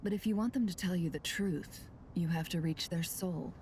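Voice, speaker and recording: Low-voiced shrewd young woman, voiced by an actress. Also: moderate pace, a little mellow, a little hiss or hum, lightly acted. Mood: confident. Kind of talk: scripted video game voice acting.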